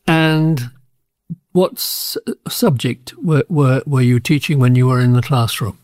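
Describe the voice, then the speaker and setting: A man's voice: medium pitch, measured pace, darkish tone, dry recording, clean studio sound. A man interviewing a teacher, asking questions hesitantly and stumbling over his words. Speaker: community radio interviewer.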